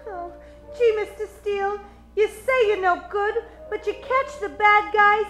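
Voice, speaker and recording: Squeaky perky 1940s secretary, slow n gushing, naive and flirty, roomy radio play. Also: female voice, a bit bright, soft background music. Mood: earnest, pleading. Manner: breathless, gushing 1940s gee-whiz speech. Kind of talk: old-time radio detective parody acting.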